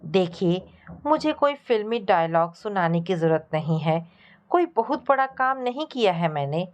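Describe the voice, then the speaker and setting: A woman's voice: fairly low pitch, sing-song, moderate pace. A woman narrator voicing a helpful, playfully blunt young college woman in a family story. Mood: teasing.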